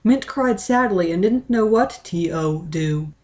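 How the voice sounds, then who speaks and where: Casting: female amateur narrator, very unhurried delivery, mid-pitched, deadpan storytelling.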